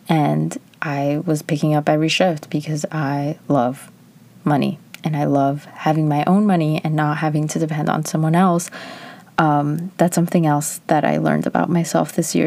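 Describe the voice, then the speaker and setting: Casting: woman, early twenties, low voice, candid and chatty vlog delivery. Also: creaky, warm tone, dry recording. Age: 20s. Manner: chatty, candid, frequent "like" fillers. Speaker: vlogger. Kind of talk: casual personal reflection.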